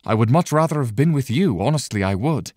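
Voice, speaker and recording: A man playing a polite, evasive young gentleman officer in an audio stage play, courteous and reserved in manner. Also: mid-range voice, lively intonation, brisk, no echo, clean studio sound, lightly acted. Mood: defensive.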